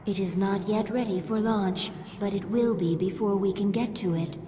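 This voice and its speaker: A woman voicing a devoted alien servant of a swarm queen in a video game, solemn, measured and reverent. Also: mid-range voice, fairly even intonation, warm tone, natural voice. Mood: dutiful. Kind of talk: game cinematic voice acting. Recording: long reverb, clear background noise.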